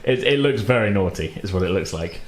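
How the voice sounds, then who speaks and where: A man's voice: on the low side, animated, fairly quick. Hesitant British man in an echoey room. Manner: hesitant, rambling delivery. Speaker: game master. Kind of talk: casual improvised tabletop game narration. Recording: roomy echo, faint background noise.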